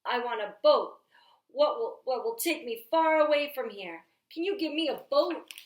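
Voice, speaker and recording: A woman's voice: sits high, lively intonation, moderate pace. A woman voicing a storybook boy who always wants something and grows weary of play. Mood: wanting, insistent. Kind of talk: read-aloud children's storybook. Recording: roomy, echoey home-style recording.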